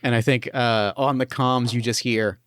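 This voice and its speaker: A man's voice: mid-range voice, fairly even intonation, normal speed, a bit bright, smooth. A man running a tabletop actual-play game as game master, talking with enthusiasm, swearing freely and often restarting his sentences. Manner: enthusiastic, profane, stumbles over restarts.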